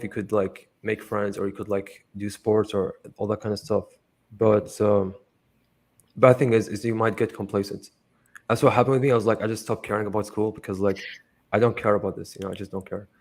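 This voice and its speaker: Young man from Iraq, low-pitched, loose and rambling, fillers everywhere, casual swearing. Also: darkish tone. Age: young adult. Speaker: interview guest. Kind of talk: casual conversational interview.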